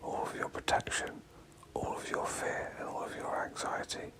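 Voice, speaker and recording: Whispering man guiding a hypnotic meditation. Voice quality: breathy, whispered. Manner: slow phrases with pauses, repeating words for emphasis. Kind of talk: guided meditation, hypnotic and repetitive.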